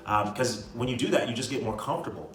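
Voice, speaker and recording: A man's voice: mid-range voice, fast, heavy background noise. A man giving casual motivational advice as a video creator, with an emphatic, repetitive, slangy way of talking. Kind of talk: casual motivational talk to camera. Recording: roomy echo, distant mic, music behind. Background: music.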